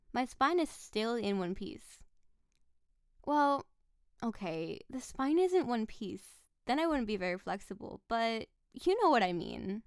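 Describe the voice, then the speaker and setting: Woman acting a high-voiced, clingy snow-spirit wife. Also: dry recording, clean studio sound, heavily acted. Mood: coaxing, affectionate. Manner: coaxing, theatrical, doting. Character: playful, clingy yandere snow-spirit wife, affectionate and possessive. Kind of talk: scripted audio roleplay addressed to the listener.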